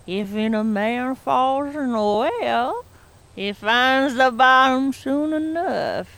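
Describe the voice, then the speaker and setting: A woman's voice: sits high, animated, slow, a bit bright, heavily acted. A woman doing an ancient cackling crone. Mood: sly. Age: older. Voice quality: old rural drawl.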